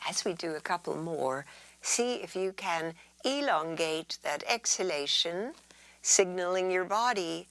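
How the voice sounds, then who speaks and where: Woman, yoga instructor, guided relaxation, soothing, slightly low pitch, breathy near-whispers, close dry sound. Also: animated, a little slow, a bit bright, dry recording. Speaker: yoga teacher.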